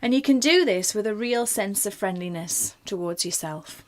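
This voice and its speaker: A woman leading a guided meditation in a gentle, informal, reassuring manner. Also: mid-range voice, expressive, normal speed. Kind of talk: guided body scan meditation.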